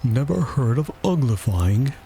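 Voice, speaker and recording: A man voicing a bossy, scolding beast from a storybook, gruff in manner, with folksy, uneducated phrasing. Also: on the low side, animated, unhurried, dark tone, a little hiss or hum. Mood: scornful. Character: brusque, scolding Gryphon, a rustic male beast. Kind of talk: storybook character voice.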